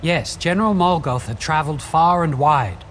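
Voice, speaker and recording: An actor playing a scholarly, wary quest guide in a fantasy video game, speaking in a measured, formal, articulate way. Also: male voice, fairly high pitch, fairly bright tone, a little hiss or hum, close to an everyday voice. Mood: measured, explanatory. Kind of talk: video game dialogue, explanatory. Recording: faint music behind.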